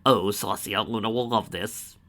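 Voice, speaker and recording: A man's voice: on the low side, steady tone, normal speed, fairly bright tone. A man voicing a playful, mischievous male chaos spirit with a bouncy, gleeful delivery.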